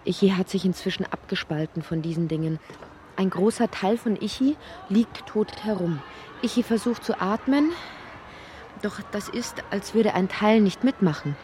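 An actress playing a brooding, introspective narrator of a dreamlike literary radio drama, with a soft, breathy, husky delivery. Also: female voice, mid-range voice, lively intonation, slight background noise, close to an everyday voice. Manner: measured, introspective delivery, sometimes sinking into whispers.

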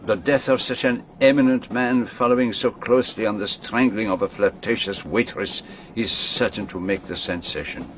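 An actor playing a shrewd, courteous detective with a French accent and formal, deliberate phrasing in a radio drama. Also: male voice, on the low side, fairly even intonation, slight background noise, natural voice. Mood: grave, urgent.

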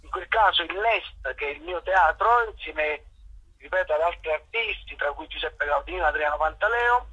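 A man from Naples, an actor, talking on the phone in a thoughtful way and often pausing to search for words. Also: on the high side, sharp tone, slightly rough. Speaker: actor guest. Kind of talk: phone interview. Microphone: telephone. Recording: muffled phone line.

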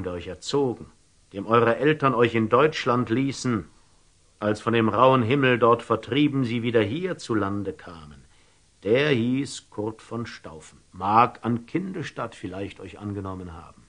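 A wise older father in classic radio drama verse. The voice sits fairly low, deliberate and tender, with quiet authority and great patience. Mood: grave, revealing. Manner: measured, deliberate, gently authoritative.

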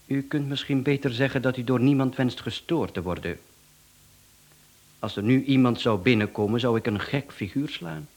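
A man's voice: mid-range voice, normal speed, lightly acted. A man with a Flemish accent playing a smug, chatty professional hitman in a radio drama, speaking with mocking politeness and self-assurance. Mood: amused, polite menace.

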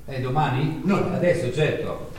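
A man's voice: mid-range voice, lively intonation, moderate pace, darkish tone, a little hiss or hum, natural voice. A man playing a mocking, bossy recruiter. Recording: distant echoey live stage recording.

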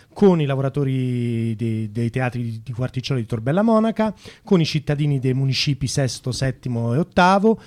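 A man's voice: a little high, darkish tone. A man interviewing on radio in a semi-improvised satirical style, politely mock-formal, with a hesitant, searching delivery.

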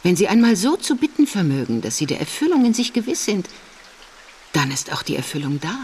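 A woman's voice: fairly low pitch, sing-song, brisk, darkish tone, long reverb tail, slight background noise. An actress playing a mysterious, serene and commanding mature woman in a radio drama, speaking with solemn deliberation. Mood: solemn, assured. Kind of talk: German literary radio drama acting.

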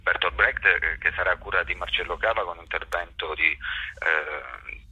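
Deep, forceful, husky man. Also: fairly even intonation, dry recording, low-quality recording. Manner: hesitant, halting, thoughtful phrasing. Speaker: actor and workshop teacher being interviewed. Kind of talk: interview answers, explanatory.

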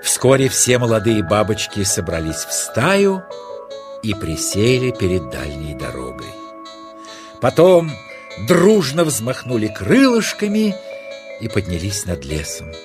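A man's voice: on the low side, wildly swooping pitch, a little slow. A man narrating a nature story for children in a tender, dramatic storytelling style. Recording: music under the narration. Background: music.

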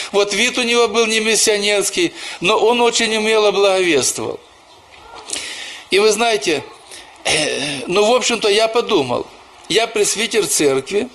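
A man's voice: high-pitched, a bit bright. A man who is a church pastor, preaching a sermon and telling personal stories, often calling the listeners brothers and sisters.

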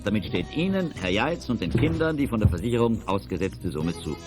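An actor playing a courteous, formal auction-house gentleman in a children's audio drama, speaking politely and declamatorily. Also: on the low side, sing-song, brisk, faint music behind. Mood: grateful, formal. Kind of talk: 1980s German children's audio drama acting.

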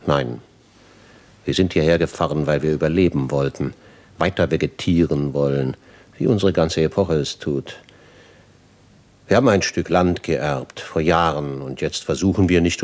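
A tired old gentleman in a 50s radio drama, really low voice, grave and philosophical. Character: weary, reflective older gentleman, a father-in-law. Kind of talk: 1950s radio drama acting.

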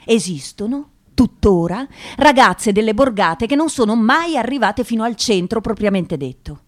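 An actress playing a thoughtful journalist who narrates a radio drama in a measured storytelling manner. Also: female voice, fairly high pitch, animated, close to an everyday voice. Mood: sober, descriptive.

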